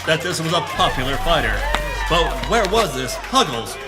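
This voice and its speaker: A man's voice: a little high, big pitch swings, crowd noise. A man playing a pompous hard-boiled adventurer, narrating in the melodramatic style of a pulp radio serial. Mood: urgent, excited. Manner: melodramatic, grave, deliberate hard-boiled delivery. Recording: reverberant voice over background music.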